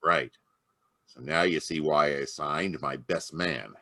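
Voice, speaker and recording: An actor playing a blustering police inspector with a blunt delivery in a live radio drama. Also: male voice, very deep voice, slow pace, creaky, bright, forceful tone, no echo, heavily acted. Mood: gruff. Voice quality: brash, blunt American delivery. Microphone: video call.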